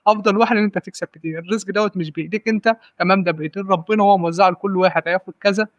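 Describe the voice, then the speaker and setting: Fast-talking young Egyptian man, high voice. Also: steady tone, dry recording, lo-fi sound. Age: 20s. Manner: rapid, repeats and restarts words, asks "right?" often. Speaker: guest data analyst. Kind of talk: casual podcast interview, giving advice.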